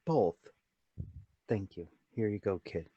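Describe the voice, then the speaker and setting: A performer voicing a curt, distracted gentleman in a radio drama. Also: deep voice, lively intonation, takes it slowly, no echo, muffled recording, voice pushed down, exaggerated voice. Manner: curt, muttering. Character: curt, distracted, deep-voiced gentleman buying a newspaper.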